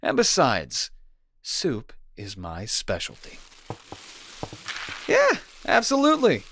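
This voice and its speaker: A man's voice: mid-range voice, huge pitch swings, takes it slowly, gravelly, a bit bright, a little hiss or hum, heavily acted. A man playing a caring, teasing young boyfriend in an intimate comfort roleplay audio, talking in a casual, playfully bantering way.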